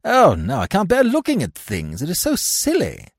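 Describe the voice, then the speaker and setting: A man playing a fussy young gentleman who talks in an irritable, flustered way. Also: sits high, huge pitch swings, normal speed, slightly rough, dry recording, clean studio sound, pitched above the performer's own voice, heavily acted. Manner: peevish, flustered.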